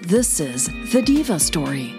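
A woman's voice: deep voice, very animated, measured pace, music in the background. A woman reading scripted fiction as the narrator of an audio drama podcast.

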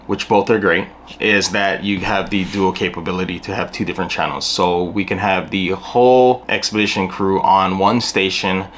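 A man's voice: deep voice, a bit bright, slight background noise. A middle-aged man giving a casual, plainspoken product review to camera, explaining things as he goes in a small room at home. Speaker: gear reviewer.

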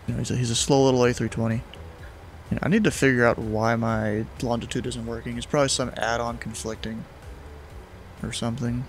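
Young man, gravelly, blunt, slangy, home desk mic, faint noise. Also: medium pitch, level tone, a little fast. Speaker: streamer host. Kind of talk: casual live-stream chat. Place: home room.

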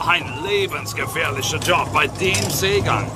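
An actor playing a brisk police officer in a radio drama, explaining eagerly in slightly hesitant, foreign-sounding phrasing. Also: male voice, a little high, very noisy, close to an everyday voice.

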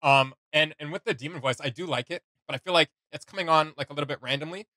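A man's voice: a little high, fast talker, bright, forceful tone, no room sound. A young man giving casual reaction commentary, hesitant as he gropes for the right words. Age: young adult. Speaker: reaction video host. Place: small home studio room.

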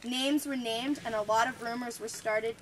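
A woman's voice: fairly high pitch, fairly even intonation, moderate pace, slight background noise, close to an everyday voice, poor audio. A young woman reading the part of a witness who found a drowned body, in a scripted ghost-story monologue read from a page, with stumbles over the words. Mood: earnest.

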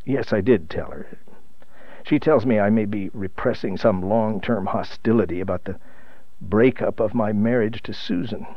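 A man's voice: on the low side, normal speed, no echo, highs cut off, close to an everyday voice. An actor playing a nervous, weary divorced man with a hesitant delivery in a radio drama. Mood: weary, resigned. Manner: hesitant, stammering.

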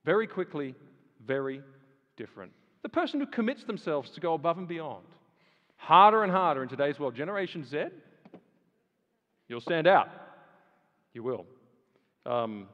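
A man preaching a sermon, firing rhetorical questions and swinging from loud emphasis to hushed lines. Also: fairly high pitch. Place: large church hall. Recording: long reverb of a large hall.

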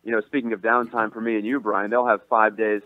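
A man's voice: on the low side, flat delivery, fast, no room sound. A man calling in as a sports reporter, talking steadily and in detail. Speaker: sports reporter, phone guest. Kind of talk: sports commentary over the phone. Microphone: phone. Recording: tinny phone line.

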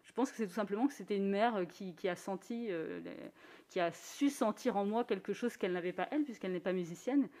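A woman musician speaking in a thoughtful, earnest way during a radio conversation, sometimes laughing softly. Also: medium pitch, fast talker. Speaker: musician guest. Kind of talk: reflective conversation.

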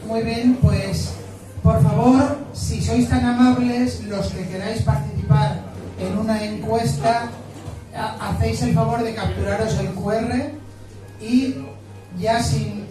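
An organizer gives a short, polite introduction to the speaker. The voice sounds distant and echoey. Music plays in the background. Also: mid-range voice. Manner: brief, polite, casual. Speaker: event organizer. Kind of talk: event introduction. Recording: echoey room, far camera.

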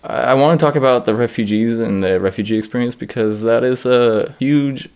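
A man's voice: mid-range voice, brisk, a little mellow, clean tone. A young man from Iraq, a stand-up comedian, talking reflectively in an interview and laughing as he speaks. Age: young adult. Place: studio against a plain grey backdrop.

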